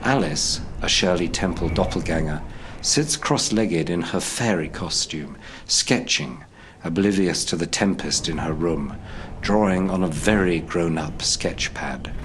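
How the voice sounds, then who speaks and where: A man's voice: low-pitched, a little slow, noisy background. A man giving a scripted, dramatic narration of a screenplay. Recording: loud music behind the narration. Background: music.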